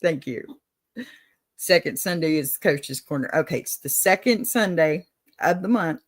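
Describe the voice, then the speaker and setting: Casting: Texan woman, talk-show host, warm folksy drawl, slightly low pitch. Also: expressive, a little slow. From Texas. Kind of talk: casual livestream advice chat. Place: home setup, on camera.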